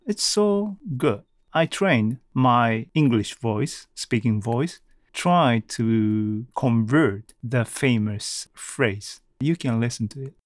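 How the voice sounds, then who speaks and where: A middle-aged man hosting a casual solo podcast, thinking aloud haltingly in non-native English phrasing. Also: medium pitch, darkish tone, dry recording, studio quality. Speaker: podcast host.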